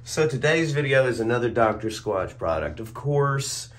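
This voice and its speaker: A young man with a hoarse voice reviewing soap in a casual, laid-back way, recorded on a phone camera at arm's length in a small room at home. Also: medium pitch, lively intonation, normal speed. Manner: laid-back, chuckles at his own jokes. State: hoarse, losing his voice. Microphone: phone or webcam at arm's length.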